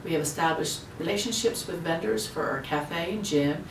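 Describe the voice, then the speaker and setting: A woman's voice: moderate pace, far from the mic. A woman managing a relocation project, speaking in a precise, detail-minded way in an interview. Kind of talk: prepared interview statement. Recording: echoey room, off-mic, rumble.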